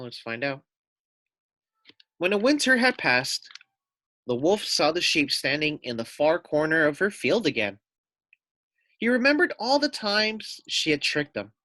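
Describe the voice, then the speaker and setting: Slow, animated man reading a children's story. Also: a little high, no echo. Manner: asks listeners questions and wonders aloud. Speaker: teacher reading to first graders. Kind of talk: animated children's story read-aloud with asides.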